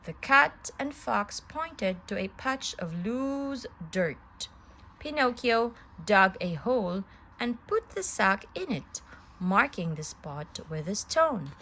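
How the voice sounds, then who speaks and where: Animated woman reading a children's story slowly. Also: mid-range voice. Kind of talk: children's story read aloud.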